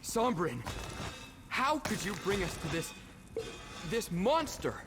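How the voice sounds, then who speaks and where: A man's voice: sits high, sing-song, slow, over music, full character voice. An actor playing a bitter, distrustful young man in a fantasy video game, heated and accusing. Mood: angry. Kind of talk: dramatic video game acting.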